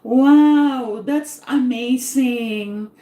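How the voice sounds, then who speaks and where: A woman's voice: high voice, very slow, mellow, echoey room. A middle-aged woman from the Philippines with a Filipino accent, chatting in a rambling, repetitive way on her cooking live stream, laughing often. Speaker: cooking vlogger. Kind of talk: live-stream casual chat.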